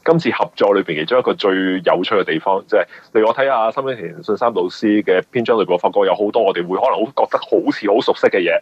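A man with a Hong Kong Cantonese accent giving conversational interview answers, explaining things haltingly and often repeating words. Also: on the low side, breathy edge, no room sound. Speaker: guest, mystery novelist. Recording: muffled, band-limited radio interview audio.

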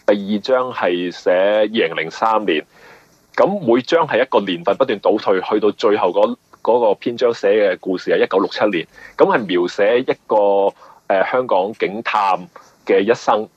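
A man with a Hong Kong accent being interviewed as a novelist, explaining in a conversational, halting way and often repeating words. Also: fairly low pitch, breathy edge, dry recording, lo-fi sound.

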